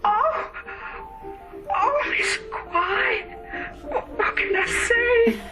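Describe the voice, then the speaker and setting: High, tearful mother. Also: very slow, over loud music, heavily acted. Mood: tearful, overwhelmed. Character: emotional, tremulous bereaved middle-aged mother. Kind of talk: radio drama acting.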